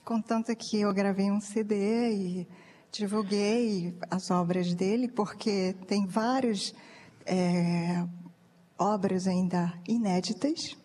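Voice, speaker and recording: A woman's voice: medium pitch, fairly even intonation, slow, dark tone. A woman from Japan answers casually as a musician being interviewed, hesitant as she searches for words. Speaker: pianist, interview guest. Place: large reverberant hall. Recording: reverberant hall.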